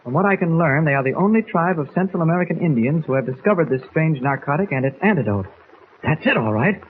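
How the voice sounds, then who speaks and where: An actor playing an earnest, resolute disguised hero with a brisk manner in a 1940s radio serial. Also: male voice, a little high, expressive, natural voice. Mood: urgent, resolute. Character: earnest, urgent, upright disguised male hero. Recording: tinny, noisy 1940s radio recording.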